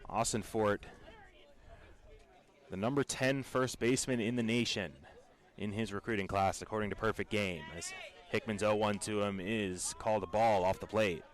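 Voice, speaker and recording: A man with an American accent calling baseball play-by-play for radio in a measured, descriptive storytelling way. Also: on the low side, fairly even intonation. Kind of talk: radio sports commentary.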